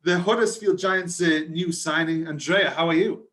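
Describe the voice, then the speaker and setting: A man's voice: on the high side, normal speed, fairly bright tone. A man hosting an interview, introducing and questioning his guest in an upbeat, enthusiastic way. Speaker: interview host.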